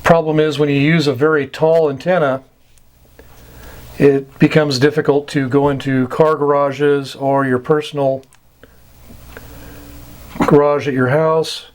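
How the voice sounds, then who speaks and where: A man giving an informal explanatory lesson as an instructor, deliberate and halting, with long pauses. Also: on the high side, flat delivery.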